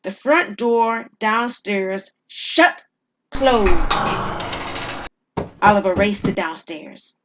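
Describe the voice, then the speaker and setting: A woman storyteller reading scripted dramatic narration with deliberate, suspenseful pauses. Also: medium pitch, expressive, noisy background.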